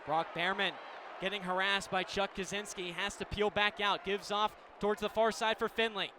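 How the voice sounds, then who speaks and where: A man's voice: high-pitched, level tone, normal speed, noisy background. An American man doing live lacrosse play-by-play on radio, speaking in clipped, descriptive phrases.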